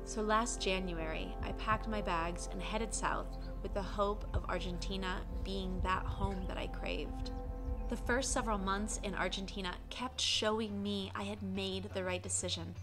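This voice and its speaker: An American woman in her thirties tells her story in a calm, earnest, reflective voice, each line measured and carefully scripted. Her pitch sits at an ordinary middle level for a woman. A clear music bed plays underneath her narration. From United States (Colorado). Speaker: travel vlogger. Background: soft music bed.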